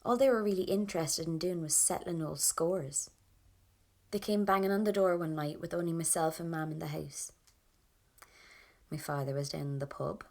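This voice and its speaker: A woman's voice: sits low, fairly even intonation, moderate pace, gravelly, darkish tone. An actress playing a bitter, wounded young Irish woman, recounting her past in a stark, restrained monologue with an Irish accent. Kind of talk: audio drama monologue.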